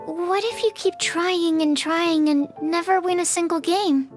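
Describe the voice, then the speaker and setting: A woman's voice: extremely high pitch, steady tone, measured pace, faint music behind. A woman voicing an earnest, polite young female alchemist in a video game, speaking in a thoughtful, apologetic manner. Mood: dejected, fretful. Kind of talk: video game character dialogue.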